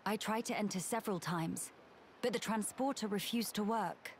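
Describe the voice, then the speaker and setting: A woman's voice: mid-range voice, normal speed, slight background noise, close to an everyday voice. A woman playing a noble, determined young mage in a video game, formal and earnest in manner. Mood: composed, earnest. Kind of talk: video game cutscene acting.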